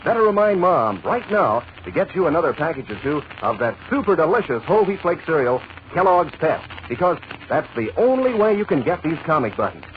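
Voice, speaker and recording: A man's voice: a little high, big pitch swings, brisk, hardly any pauses. A man announcing a folksy 1940s radio advertisement, chummy and salesmanlike. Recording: muffled, hissy 1940s radio recording.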